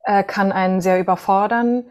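Woman, from northern Germany, voice coach, podcast guest, medium pitch, conversational answers. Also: steady tone, normal speed, a little mellow.

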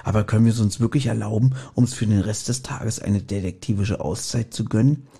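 A man's voice: fairly low pitch, a little fast, creaky, mellow, slightly rough. A man co-hosting a podcast, chatting casually and joking dryly. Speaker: podcast co-host. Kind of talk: casual chat with some reading from a book.

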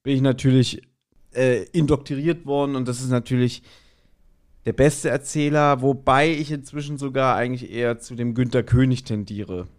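A man's voice: medium pitch, steady tone, normal speed. Male podcast co-host. Casual, conversational recap and review, mid-range voice, amused asides. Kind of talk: casual chat recapping and reviewing an audio drama.

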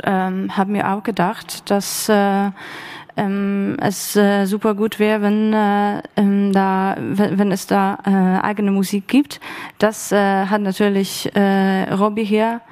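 A woman speaking unscripted as an author on a panel, very haltingly, searching for words. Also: medium pitch, a little mellow. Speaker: radio play author on a panel. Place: stage of an arts academy event.

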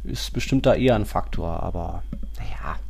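A man's voice: deep voice, expressive, normal speed, creaky, darkish tone, breathy edge. A man co-hosting a football podcast in a casual, colloquial way with chuckling asides. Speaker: podcast host.

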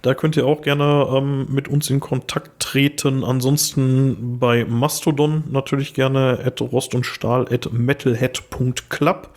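A young man with a slightly hoarse voice, talking casually as a podcast co-host. He rambles, restarts his sentences and fills the gaps with "ähm". His pitch sits in the middle for a man. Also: level tone, unhurried, hardly any pauses, darkish tone. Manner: rambling, many fillers and restarts. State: a little hoarse. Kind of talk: casual chat.

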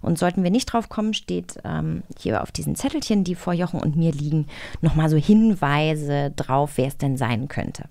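Woman, lowish pitch, very dry recording, conversational co-host. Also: moderate pace, gravelly, darkish tone, dry recording. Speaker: magazine co-host. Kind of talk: conversational hosting.

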